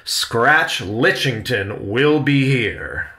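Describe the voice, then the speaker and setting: A man's voice: mid-range voice, expressive, extremely slow, sharp tone, pitched above the performer's own voice, exaggerated voice. A man voicing a passionate, earnest uncle who acts on the stage, with an emphatic, declamatory delivery in a storybook reading.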